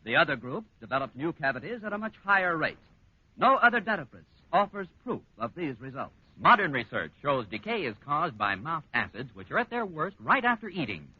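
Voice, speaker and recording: A man's voice: fairly high pitch, very animated, a little fast, slightly rough. A man reading a 1940s radio commercial in an earnest, formal, emphatic way. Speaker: commercial announcer. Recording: muffled dry old radio recording.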